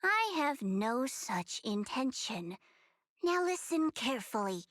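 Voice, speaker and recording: An actress voicing a calm, resolute female judge in a game, measured and unyielding. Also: high-pitched, exaggerated voice. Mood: cold. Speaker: heroine in a game cutscene.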